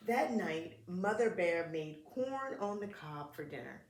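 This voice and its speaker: A woman's voice: lowish voice, expressive, slow pace. A woman reading a picture book aloud to preschool children in short, simple phrases, talking to them directly. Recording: echoey room.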